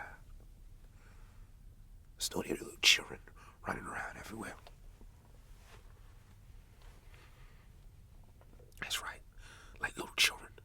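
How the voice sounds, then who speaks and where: Casting: male actor for troubled farmer in an ASMR roleplay, hushed breathy whisper with crisp bright edge, folksy storytelling. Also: high-pitched, heavily acted. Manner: slow folksy storytelling, repeating phrases. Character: rural farmer man, spooked, troubled, God-fearing.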